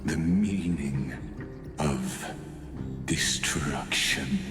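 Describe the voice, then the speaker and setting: An ominous game villain with an extremely low voice. He speaks very slowly and pompously, savoring his words with condescension. His voice rings through a reverberant hall. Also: full character voice. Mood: solemn, challenging. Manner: slow, deliberate, pompous, theatrical. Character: ominous, theatrical male villain, grandly philosophical and condescending. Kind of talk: dramatic game character monologue. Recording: echoey hall reverb, music underneath.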